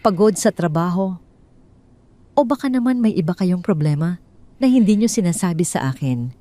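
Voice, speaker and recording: A woman narrator plays an imperious older society matriarch in a story. Her voice is lowish and mellow, her manner formal and probing, and she speaks with suspicion and authority. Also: animated, normal speed, no room sound, close to an everyday voice. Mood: suspicious. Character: stern, imperious older wealthy mother-in-law, suspicious matriarch.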